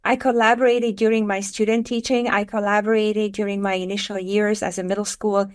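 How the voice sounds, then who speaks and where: Hungarian-accented woman, earnest and measured. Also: medium pitch, little pitch movement. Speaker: guest, education author. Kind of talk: podcast interview.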